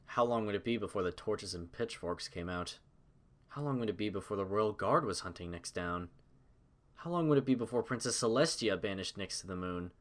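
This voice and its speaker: A man reading scripted story narration in a measured, dramatic manner. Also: lowish voice.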